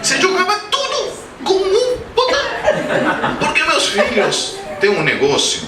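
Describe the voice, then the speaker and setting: A man's voice: very high voice, wildly swooping pitch, measured pace, over a noisy crowd. A man in his forties, a native Spanish speaker with a Spanish-speaking accent, giving a humorous spiritist lecture full of anecdotes and questions to the audience. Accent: Spanish-speaking accent in Portuguese. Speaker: guest speaker at a spiritist center. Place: lecture hall. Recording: roomy hall, distant mic, background noise.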